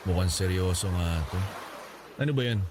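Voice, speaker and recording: Man acting easygoing young suitor, Filipino accent, radio drama, slightly deep voice, teasing conversational tone, noisy background. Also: moderate pace, natural voice. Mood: affectionate, coaxing.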